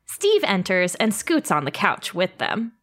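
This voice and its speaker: Bubbly lady reading stage directions then gushing about the episode, middle pitch. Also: huge pitch swings, unhurried, fairly bright tone. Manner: bubbly and enthusiastic. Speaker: writer and co-host.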